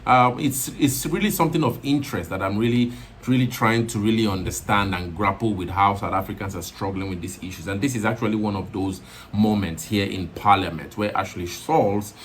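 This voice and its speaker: A man's voice: fairly low pitch, a little fast, hardly any pauses, slight background noise. A man hosting a commentary channel, talking in a rambling way with many restarts, fillers and self-corrections. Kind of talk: video commentary monologue.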